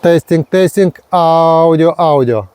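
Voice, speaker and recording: A man narrating a hobby field test vlog step by step in halting, short, repetitive phrases. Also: fairly high pitch, heavy background noise. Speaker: radio hobbyist presenting a range test.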